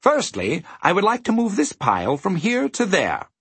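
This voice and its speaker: A man playing an amiable, excessively courteous, faceless gentleman in a children's storybook. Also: high-pitched, huge pitch swings, normal speed, vocal fry, husky, no echo, voice pushed up, heavily acted. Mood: polite, cheerful. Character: elegant faceless gentleman demon, amiable and over-polite.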